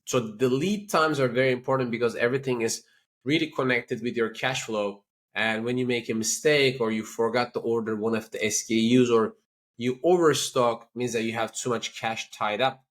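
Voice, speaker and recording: A man with a Turkish accent talks as the guest of a business interview, speaking at an ordinary middle pitch. He explains things in an animated, talkative way and keeps leaning on "you know" and "like" as he goes. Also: moderate pace. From Turkey. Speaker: company CEO, podcast guest.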